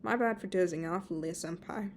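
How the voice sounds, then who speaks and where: A woman's voice: lowish voice, a little fast, a little hiss or hum, natural voice. A woman voicing a modest young female student who is polite and mildly grumbly, in a casual, unassuming manner. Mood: grumbling, sheepish.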